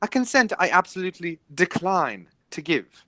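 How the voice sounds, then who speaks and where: Victorian gentleman guardian voiced by an actor for radio comedy: formal, dryly polite, lively intonation, close dry sound. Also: medium pitch, no echo. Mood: firm, disapproving. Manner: measured, formal, dryly emphatic. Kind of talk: stage comedy as amateur radio play.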